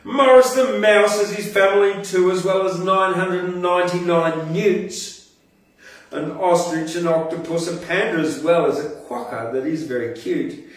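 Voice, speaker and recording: A man's voice: high-pitched, fairly even intonation, a little slow. An Australian man hosting a poetry show, reciting and chatting in a rhythmic, theatrical way. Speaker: poetry show host and poet. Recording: very echoey room, distant from mic.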